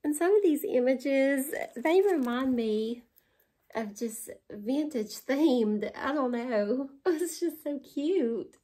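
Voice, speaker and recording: A woman's voice: high-pitched, animated, slow, dark tone. A woman in her forties from West Virginia with a Southern accent, chatting and gushing over items she bought, laughing often, filmed in her living room. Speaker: haul vlogger. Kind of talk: casual show-and-tell haul. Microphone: camera in front at close range.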